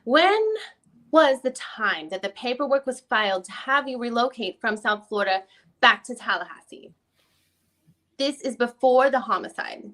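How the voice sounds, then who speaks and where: A high-pitched female lawyer, voiced by a woman works through a deposition reading. She puts probing questions in a formal, somewhat halting way. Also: a bit bright, close to an everyday voice. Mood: questioning. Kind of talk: dramatic reading of a deposition transcript.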